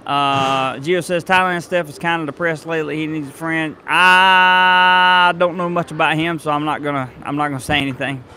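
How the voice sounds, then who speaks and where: A man's voice: fairly high pitch, steady tone, normal speed, hardly any pauses, bright, forceful tone. A man, reading out viewer comments in a rambling, casual live stream. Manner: rambling, full of fillers and restarts. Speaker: travel vlogger reading live chat. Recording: faint street noise behind.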